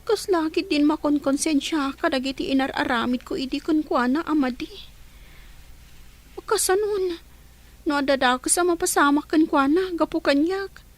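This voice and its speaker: A woman's voice: high-pitched, normal speed, natural voice. A woman with a Filipino accent playing a brooding, conscience-stricken young daughter in an audio drama. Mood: guilty brooding. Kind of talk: Ilocano audio drama acting.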